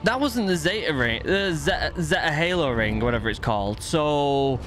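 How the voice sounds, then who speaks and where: A man hosting a reaction video indoors, close on the mic. His voice sits a little high, and he talks casually in hyped exclamations, with some music behind. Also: animated, moderate pace, fairly bright tone. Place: indoor streaming setup. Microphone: close boom-arm microphone.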